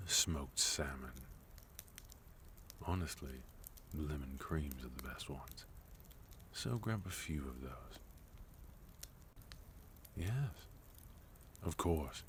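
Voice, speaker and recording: Man acting a very deep-voiced, courteous villain. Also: slight background noise, exaggerated voice. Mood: gentle, doting. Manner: polite, formal, soothing. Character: sophisticated villain leader, courteous, doting host to captive. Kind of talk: ASMR fantasy roleplay acting.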